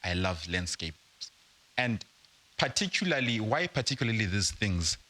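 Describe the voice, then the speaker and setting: Young adult man from Zimbabwe, interview guest; low pitch, bright tone, measured delivery. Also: big pitch swings, no echo. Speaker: guest entrepreneur. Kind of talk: casual interview.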